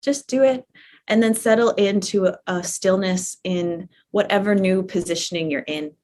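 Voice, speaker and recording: A woman leads a guided yoga nidra practice as the teacher. Her manner is gentle and permissive, with soft repetitions. She speaks conversationally at a medium pitch, giving calm instruction. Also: moderate pace, slight background noise. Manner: gentle, permissive, repeats phrases softly. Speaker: yoga nidra teacher guiding a live class.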